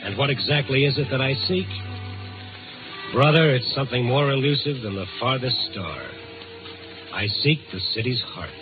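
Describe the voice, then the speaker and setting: A man's voice: mid-range voice, normal speed, pauses often, lightly acted. An American actor playing a world-weary night reporter in a 1950s radio drama, wisecracking as he narrates. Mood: brooding, wry. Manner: hard-boiled, wisecracking narration. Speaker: actor in the lead role. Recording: tinny old radio recording with loud music.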